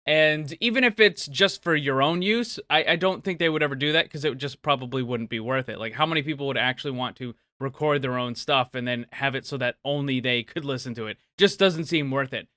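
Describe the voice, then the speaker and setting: Male gaming host, upbeat commentary, forceful bright voice, very dry close sound. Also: mid-range voice, a little fast, few pauses, no room sound. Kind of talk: upbeat video commentary answering viewer questions.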